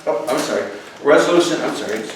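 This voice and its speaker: A man's voice comes from across a very echoey room with clear background noise. He chairs a board meeting in plain procedural talk, informal and halting, often correcting himself. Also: medium pitch, lively intonation. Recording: echoey meeting room, distant mic, noisy.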